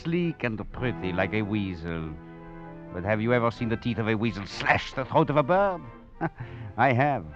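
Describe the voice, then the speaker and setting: A man's voice: medium pitch, big pitch swings, normal speed, close to an everyday voice. A wry, self-styled philosopher on the run, played by a man, narrating with chuckles and a voice that leaps animatedly up and down. The old radio recording is muffled, with faint music underneath. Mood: wry, chuckling. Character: wry, cunning male escaped prisoner and philosopher. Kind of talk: 1940s radio acting.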